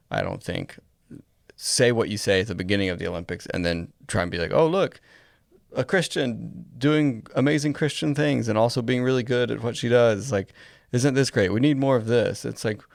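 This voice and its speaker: A man's voice: on the low side, gravelly, no room sound, clean studio sound. A man co-hosting a podcast, talking casually and conversationally as he makes his points. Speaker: podcast co-host.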